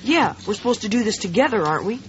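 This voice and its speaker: A woman's voice: mid-range voice, sing-song, quick pace, a little hiss or hum, lightly acted. A woman voicing an awkward, self-conscious schoolboy who stammers, in a radio drama. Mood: earnest. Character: nervous, awkward popular schoolboy. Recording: slightly dull radio drama recording.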